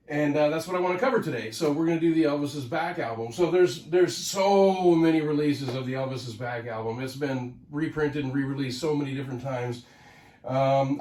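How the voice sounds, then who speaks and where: A man's voice: fairly high pitch, darkish tone, far from the mic. A man with a slightly raised voice talks casually to his viewers, nasal and stuffy from a head cold. He rambles and restarts often, filling gaps with ums and uhs. He sits back from the mic, and the room around him echoes. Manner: rambling, many fillers and restarts. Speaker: host of a record collecting channel. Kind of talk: casual solo talk to camera.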